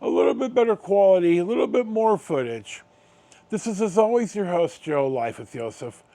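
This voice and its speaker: A middle-aged American man hosting a casual storytime, rambling along in halting, unhurried, drawn-out phrases. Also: sits high, lively intonation, creaky, warm tone. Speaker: video host.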